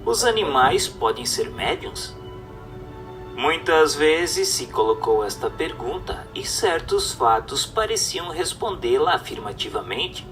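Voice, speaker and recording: Guy narrating like an audiobook, highish voice that swings a lot, bit far from the mic, faint music. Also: sing-song, takes it slowly, fairly bright tone. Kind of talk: scripted reading of a spiritist text, audiobook-like.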